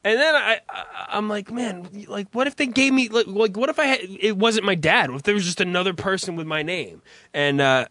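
Male podcast host, raspy after a cough, profane rambler, slightly high voice, dry sound. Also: a little fast, bright, forceful tone, dry recording. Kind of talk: crude comedic podcast chat.

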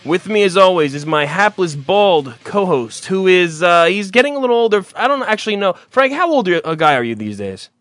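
Goofy man hosting with a lively voice. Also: sits high, fairly quick, hardly any pauses, no room sound, soft background music. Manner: goofy, jokey, with rambling asides and hesitations. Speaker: host. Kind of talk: comedic internet radio show hosting.

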